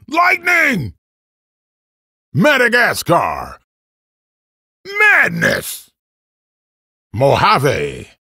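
A woman voicing an energetic arcade racing game announcer who shouts short callouts. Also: a little high, vocal fry, slightly rough, no room sound, clean studio sound, heavily acted.

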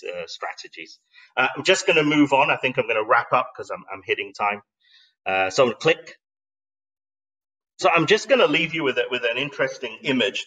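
A man's voice: mid-range voice, pushed and bright, far from the mic, rough recording. A middle-aged man giving a live online slide talk in an explanatory, conversational way, thoughtful and emphatic, often posing questions. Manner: reflective, emphatic phrasing with rhetorical questions. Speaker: speaker presenting an online talk.

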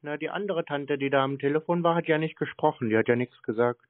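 A man chatting casually on a call, teasing and provoking his caller in a dry, deadpan manner. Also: a little high, fast, no echo. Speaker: scam-baiter taking phone calls. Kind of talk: casual, teasing phone chat. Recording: narrow phone-band call audio.

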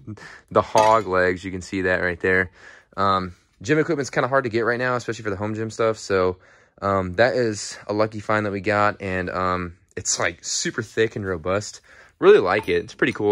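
A man's voice: lowish voice, a bit bright, no echo, studio quality. A young American man chatting casually and off the cuff as a fitness vlogger, in a laid-back, rambling, slangy way. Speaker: fitness vlogger giving a home gym tour. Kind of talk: casual off-the-cuff vlog chat. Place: indoor metal-walled garage-shop gym. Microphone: handheld phone held behind the camera view.

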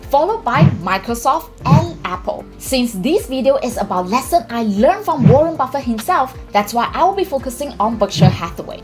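A woman in her thirties hosting an investing channel, talking earnestly to camera in a small room and putting rhetorical questions to herself. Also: high-pitched. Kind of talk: explainer vlog to camera. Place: small indoor room with bookshelf and plant.

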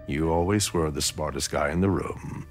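A man's voice: extremely low pitch, moderate pace, soft background music. An actor playing a smug, glib middle-aged male politician with a condescending manner in a video game cutscene. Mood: smugly polite. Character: smug, smooth-talking powerful politician, middle-aged man.

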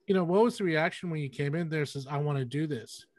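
A man's voice: a little high, fast talker, a little mellow. A middle-aged man hosting a casual interview over a video call, asking questions informally. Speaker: interviewer, host. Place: indoor room, video call.

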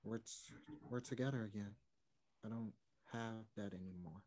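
A man's voice: lowish voice, fairly even intonation, normal speed, noisy background, lightly acted. A man in his twenties playing a remorseful, earnest man who longs for his first love, in a play read over a video call. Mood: sincere. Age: 20s. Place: room at home. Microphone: computer mic on a video call.

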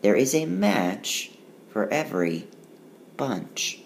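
A man's voice: vocal fry, slight background noise. A male teacher reading a rhyming picture book aloud and walking through the math, recorded as a tablet screencast voice-over. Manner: slow, sing-song rhyming read-aloud with lively pitch.

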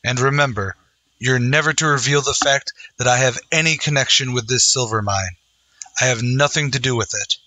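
Guy playing earnest resolute western radio hero, solemn, bright forceful voice, medium pitch. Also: moderate pace, dry recording, lightly acted, rough recording. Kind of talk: old-time western radio acting.

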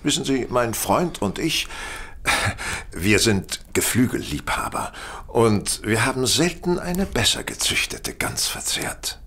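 An actor playing a shrewd, confident, courteous detective in a German audio drama, smooth-talking and probing. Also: male voice, on the low side, huge pitch swings, takes it slowly, pushed and bright, full character voice.

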